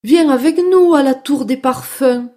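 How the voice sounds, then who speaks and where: Woman voicing high, bleating, friendly storybook sheep. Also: unhurried, mellow. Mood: helpful, inviting. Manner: bleating, sing-song. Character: flock of helpful, friendly bleating female sheep.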